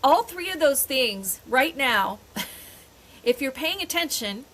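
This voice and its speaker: Bright-voiced older woman, rambling chat. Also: high voice, very animated, slow pace. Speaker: podcast co-host. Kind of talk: casual chat. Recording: faint background noise.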